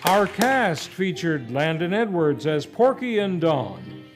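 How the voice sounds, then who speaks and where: High-voiced male radio host over music. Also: very animated, slow. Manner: formal, theatrical announcer delivery. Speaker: series host and announcer. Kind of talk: scripted old-time radio sign-off. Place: theater stage, live broadcast. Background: live music.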